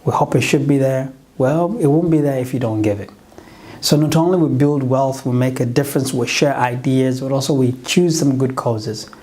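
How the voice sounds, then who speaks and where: A middle-aged man from Uganda, not a native English speaker, giving an earnest motivational pitch as a business coach, often restarting and correcting himself. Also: normal speed, warm tone.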